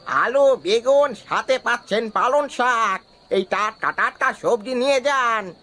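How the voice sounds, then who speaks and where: Woman acting slow, high-voiced vegetable hawker. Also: a bit bright. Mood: calling out. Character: market vegetable hawker calling out wares. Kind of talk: animated story acting.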